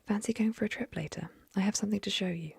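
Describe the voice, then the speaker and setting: A woman's voice: medium pitch, fast talker, creaky, breathy edge, no room sound. A woman whispering the lines of a friendly young male rowing instructor in a story reading.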